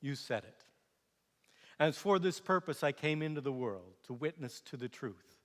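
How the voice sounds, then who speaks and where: Holy prisoner for stage monologue, played by male storyteller: hushed, calm, grave, measured, in long hall reverb. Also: medium pitch, close to an everyday voice. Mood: calm, solemn. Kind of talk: quoted part in dramatic monologue.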